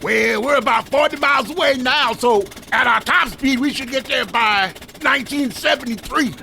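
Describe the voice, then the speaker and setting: High gravelly wisecracking valet. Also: male voice, normal speed. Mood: wry, exasperated. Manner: dry, teasing wisecracks. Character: wisecracking long-suffering male valet and chauffeur. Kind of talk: 1960s radio comedy acting. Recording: noisy, hissy radio comedy recreation.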